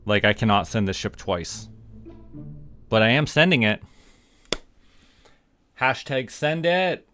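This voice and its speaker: A man doing relaxed live game commentary, musing aloud in a halting, unhurried way. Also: mid-range voice, faint music behind. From Canada. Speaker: game streamer.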